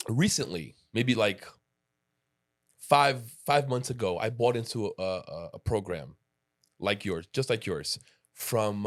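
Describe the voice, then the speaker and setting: A man in his late thirties hosting a podcast, chatting casually and rambling with restarts and asides. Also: on the low side. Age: 30s. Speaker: podcast host. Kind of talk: casual interview chat.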